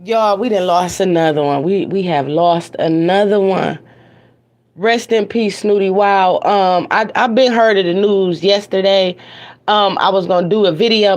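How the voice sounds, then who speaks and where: Hoarse woman with a cold, chatty host. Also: medium pitch. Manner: chatty, repeats phrases, talks straight to viewers. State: hoarse, raspy from a cold, stuffy nose. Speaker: host of an online news talk show. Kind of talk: casual livestream chat. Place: home with TVs on in the background.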